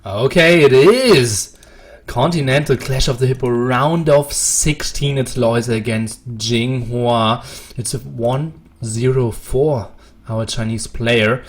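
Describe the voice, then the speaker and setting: A man casting a live strategy game, calling the action play-by-play in a brisk manner. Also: mid-range voice. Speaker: esports caster.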